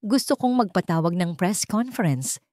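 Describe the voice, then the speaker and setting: A woman voicing a wounded but resolute young heiress in a story, speaking in measured, firm, quietly defiant declarations. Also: fairly low pitch, lively intonation, dry recording, clean studio sound, natural voice. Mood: determined.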